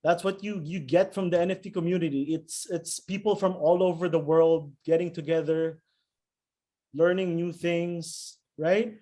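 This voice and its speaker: High-pitched effusive male host. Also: steady tone, normal speed, dark tone. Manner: effusive, informal, gushing. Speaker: interview host. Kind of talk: casual video interview.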